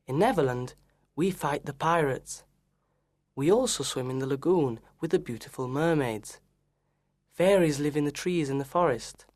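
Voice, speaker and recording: A woman's voice: deep voice, a little mellow, voice pushed down. A woman voicing Peter Pan, an eager young boy, in a storybook reading for learners.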